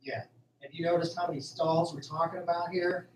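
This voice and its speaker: A man's voice: fairly high pitch, steady tone, fairly quick, natural voice. A man acting in an amateur radio drama as a wry, uneasy young farmhand with casual, sarcastic speech. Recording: roomy, distant, faint background noise.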